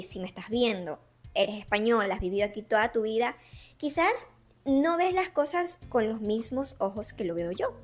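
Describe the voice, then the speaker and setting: An Ecuadorian woman from Ecuador chatting casually to camera, explaining with examples and speaking straight to the viewer. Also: a little high. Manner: chatty, gives examples, addresses the viewer directly. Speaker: interviewee sharing her experience. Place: Barcelona.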